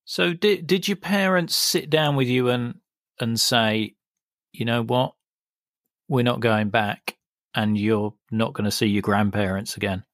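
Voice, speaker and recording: A man's voice: medium pitch, measured pace, a bit bright, no room sound. Man asking interview questions, dry sound. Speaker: podcast host.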